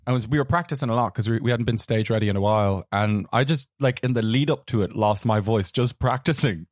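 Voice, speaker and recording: A hoarse young man with a gravelly voice, co-hosting a podcast in casual, rambling chat. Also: fairly low pitch, fast, no echo, clean studio sound. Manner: rambling, laughs easily. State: hoarse from shouting the night before. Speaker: podcast co-host.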